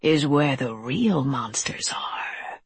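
A woman voicing a menacing male monster, coldly amiable and mocking, in a slow breathy hissing whisper. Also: sits low, expressive, no room sound, exaggerated voice. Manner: slow, drawn-out, taunting.

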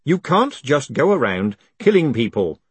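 A man voicing a quick-witted, glib postmaster and conman in an audiobook, forceful, persuasive and easily exasperated. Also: a little high, huge pitch swings, husky, no room sound, voice pushed up, exaggerated voice. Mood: exasperated, indignant.

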